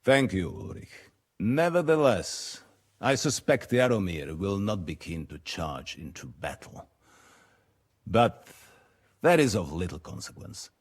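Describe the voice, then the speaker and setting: A man playing a shrewd, weary middle-aged lord in a video game, speaking in a formal, measured and commanding manner. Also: low voice, very animated, heavily acted. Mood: composed. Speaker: medieval nobleman. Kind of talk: video game cutscene acting.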